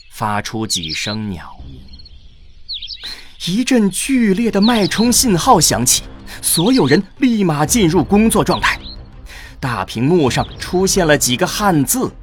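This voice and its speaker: Deliberate male drama narrator over music. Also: medium pitch. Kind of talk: dramatic scripted narration of a sci-fi audio drama.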